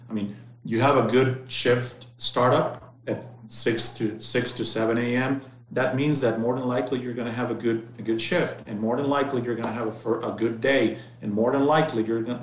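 A man's voice: medium pitch, fairly even intonation, brisk, reverberant, low-quality recording. A man from Mexico, a factory site manager explaining things earnestly in an interview, often repeating words as he restarts. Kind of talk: casual interview conversation.

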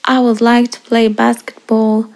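Slow, earnest woman in her twenties. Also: fairly high pitch, flat delivery, a little mellow. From Iraq. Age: 20s. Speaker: podcast guest. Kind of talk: prepared personal story, read aloud.

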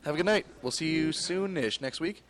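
A man's voice: fairly high pitch, brisk. A man co-hosting a podcast, chatting casually and teasing the guest. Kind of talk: casual chat.

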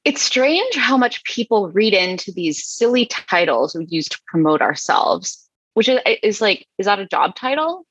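A woman co-hosting a chat show, giving a deadpan dramatic reading of online comments with slow, heavy emphasis. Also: mid-range voice, slightly rough, no echo. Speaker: podcast co-host. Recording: dull, dry lo-fi mic.

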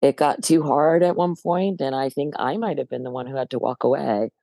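An older American woman from New Jersey with a low, gravelly voice, talking through memories in a relaxed interview, often hesitating and laughing. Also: a little fast, no room sound, clean studio sound. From New Jersey, USA. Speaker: guest musician. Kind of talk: casual interview chat.

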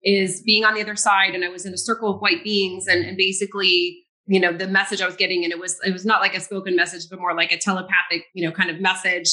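A woman's voice: lowish voice, level tone, fast talker, hardly any pauses, bright, forceful tone, poor audio. A woman who grew up in France with French as her first language, talking earnestly and reflectively as an interview guest, laughing now and then. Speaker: guest, psychic. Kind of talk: casual interview conversation.

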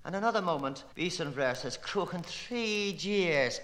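A man's voice: high voice, animated, a little slow, a bit bright. A man giving a theatrical, declamatory and playful reading of literary prose, relishing the wordplay. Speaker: reader. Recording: long hall-like reverb.

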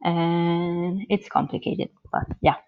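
Slow, hesitant Italian-accented woman. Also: fairly low pitch, steady tone, creaky, narrow, tinny audio. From born in the US, grew up in Italy. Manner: hesitant, many ums and restarts. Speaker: guest. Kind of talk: interview answers.

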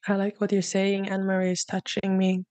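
A young woman speaking softly and hesitantly, sharing heartfelt reflections in a conversation. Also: mid-range voice, completely flat delivery, a little fast, no room sound. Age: young adult.

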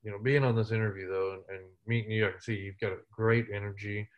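Man in his thirties, humorous storyteller, low voice, muffled recording. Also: steady tone, fast. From West Texas. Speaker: interview guest, military veteran. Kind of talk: storytelling in casual interview.

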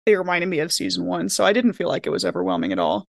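A woman's voice: lowish voice, very animated, very fast, vocal fry, no room sound, clean studio sound. A woman co-hosting a podcast, chatting casually in a playful, joking way. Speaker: podcast co-host. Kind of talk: casual chat.

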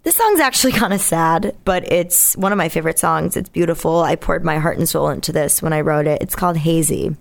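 A woman with a naturally raspy voice, a singer being interviewed, talking casually in a candid, reflective way. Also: low-pitched, a little fast, few pauses. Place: radio studio.

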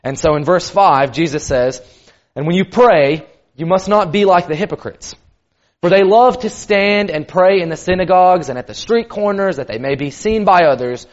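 A man giving a sermon in a loud, carrying voice, casual and conversational with lots of "kind of". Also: high-pitched, moderate pace. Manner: loud voice that carries; conversational, many fillers like "kind of". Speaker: preacher. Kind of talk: sermon teaching. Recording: dull lo-fi recording.

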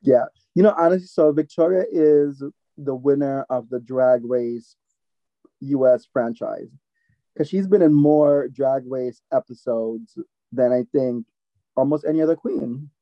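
A man with a Jamaican accent in casual chat, retelling his reactions in an animated way, on a slightly lo-fi recording. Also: medium pitch, unhurried, no room sound.

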